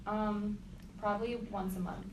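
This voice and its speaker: A 21-year-old woman answers focus group questions in a casual, upbeat way. She sounds far from the mic in an echoey room, with steady background noise. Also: medium pitch, measured pace.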